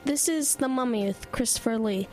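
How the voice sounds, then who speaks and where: A woman's voice: on the high side, normal speed, darkish tone, soft background music, lightly acted. An actress voicing an eager young boy who loves horror films and sounds whiny and earnest. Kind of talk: audio drama acting.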